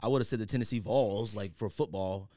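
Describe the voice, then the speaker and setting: A man's voice: lowish voice, brisk, no echo, studio quality. A man with an American accent bantering casually about sports as a podcast co-host, opinionated and full of slang. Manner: animated, opinionated, informal slang.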